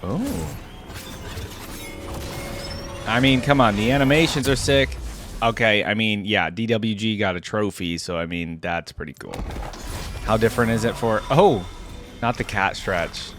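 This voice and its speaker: A man with a fairly low voice hosts a casual reaction video. He thinks aloud informally and exclaims often, while music plays clearly behind him. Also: moderate pace. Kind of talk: casual live reaction commentary. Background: game music and sound effects.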